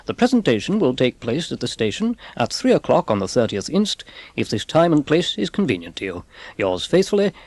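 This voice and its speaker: An actor playing a formal, courteous railway secretary, pompously reading a letter in an audiobook drama. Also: male voice, mid-range voice, sing-song, a little fast, darkish tone, no echo. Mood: formal, proud.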